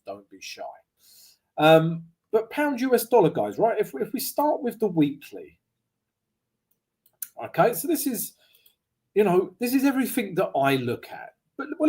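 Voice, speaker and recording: A man with a slightly croaky voice, hosting a live trading stream in a lively, joking, chatty way. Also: fairly high pitch. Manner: lively, joking, chatty with viewers, frequent "right?" and "guys". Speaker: forex trading livestream host. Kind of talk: casual live trading chat. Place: home setup abroad, streaming live.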